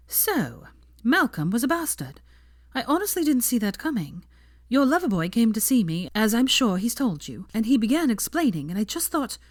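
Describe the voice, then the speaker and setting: Lady reading as a young woman writing a letter, higher and lively, a bit bitter, dry close sound. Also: no room sound. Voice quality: raised, lively, animated delivery.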